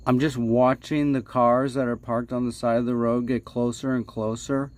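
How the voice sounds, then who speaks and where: A man telling stories casually as a livestream host, halting and repeating himself, playfully dramatic with stretched words and hushed asides. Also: mid-range voice, little pitch movement. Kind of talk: casual rambling spoken storytelling.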